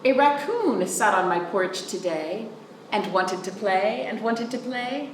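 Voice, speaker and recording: A woman reading aloud to young children in an expressive, sing-song way, drawing out words and speaking simply and clearly for them. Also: mid-range voice, a little slow. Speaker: children's librarian, storytime reader. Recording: roomy echo, distant mic, faint noise.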